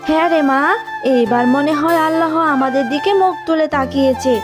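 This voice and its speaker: A woman voicing a hopeful, affectionate poor village mother in a cartoon, gentle and reassuring. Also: high voice, a little fast, music in the background, natural voice. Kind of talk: Bengali cartoon dubbing.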